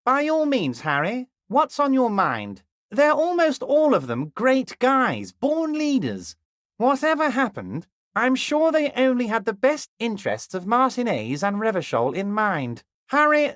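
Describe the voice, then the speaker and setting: A smooth, ingratiating middle-aged union boss in a video game, slyly patronizing and always drawing out his words. His voice sits fairly high for a man and roams up and down expressively. It is recorded dry and close, with no room sound. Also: fairly bright tone, dry recording, full character voice. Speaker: dock union leader character.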